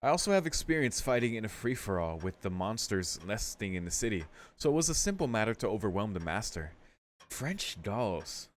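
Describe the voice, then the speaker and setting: A man with a fairly low voice reads visual novel text aloud for a let's play. He drifts between narration and casual, sweary asides, muttering his reactions as he goes. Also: very animated, fairly quick. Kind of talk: casual gaming commentary mixed with read-aloud narration.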